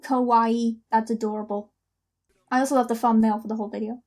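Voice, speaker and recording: A woman hosting a reaction video, commenting casually and spontaneously with excitable fangirl exclamations. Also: a little high, a little fast.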